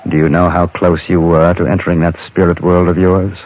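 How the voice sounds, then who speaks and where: A man acting a steady, guarded frontier gentleman in a radio western, speaking in a measured, deliberate and terse way. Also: sits low, natural voice. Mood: probing. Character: steady, guarded frontier gentleman narrating his Old West adventure. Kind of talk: 1950s radio western acting, first-person narration and dialogue. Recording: muffled, noisy old radio recording.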